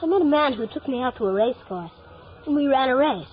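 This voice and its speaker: A woman's voice: high-pitched, lively intonation, quick pace. A woman playing an earnest, eager, polite young boy full of wonder, in 1950s radio drama style. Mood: innocent, chatty. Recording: old radio broadcast, narrow band, music behind.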